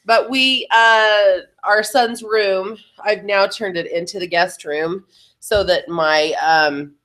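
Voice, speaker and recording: A middle-aged woman co-hosting a podcast, chatting informally and emphatically, her voice lowered by allergies. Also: fairly even intonation, takes it slowly, a bit bright. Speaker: podcast co-host. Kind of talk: casual chat.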